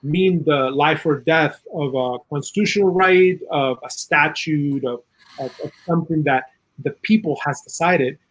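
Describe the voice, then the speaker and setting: A man from South America giving earnest, opinionated political commentary in a conversational way, with a husky voice and plenty of "you know". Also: a little high, unhurried, a bit bright, rough recording. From South America, Chile. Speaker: interview guest, political and legal commentator.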